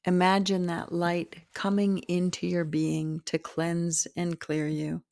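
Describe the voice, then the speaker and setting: A woman narrating a guided meditation as host, in a soothing, deliberate manner with pauses between short phrases. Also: fairly low pitch, completely flat delivery, gravelly, darkish tone, no room sound, studio quality.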